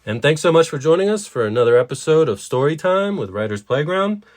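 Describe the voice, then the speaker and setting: A man's voice: on the high side, very animated, fairly quick. A man co-hosting a podcast, discussing stories conversationally in an earnest, reflective way. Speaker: podcast co-host.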